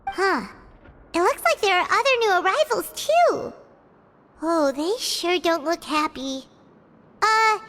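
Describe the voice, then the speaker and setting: Very high squeaky little fairy. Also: female voice, fairly bright tone, slight background noise, heavily acted. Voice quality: squeaky, childlike. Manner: refers to self in third person, exclamatory. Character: small childlike fairy companion, excitable and easily frightened. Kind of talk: English video game voice acting. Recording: music under the voice. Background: game music.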